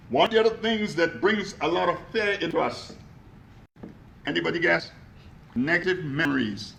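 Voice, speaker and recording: A man giving a motivational talk to a live audience, energetic, asking them questions and calling out to them. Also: on the high side, vocal fry, roomy sound, slight background noise, low-quality recording. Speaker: motivational speaker. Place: echoey room with an audience.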